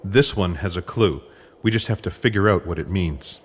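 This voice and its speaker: A dry-witted, patient intelligence agent acted by a man for comic sci-fi audio theatre. His voice sits a little low and stays wry. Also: lively intonation, normal speed, husky.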